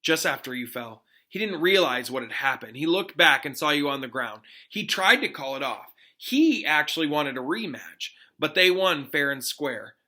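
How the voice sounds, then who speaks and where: A man's voice: fairly high pitch, lively intonation, moderate pace, few pauses, pushed and bright. A man voicing a sombre teenage boy in a storybook, earnest and resigned about a defeat.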